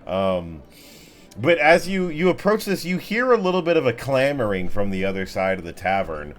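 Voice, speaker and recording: A man's voice: medium pitch, very animated, normal speed. A man narrating an improvised tabletop game as game master in a casual, descriptive way. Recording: music behind the voice.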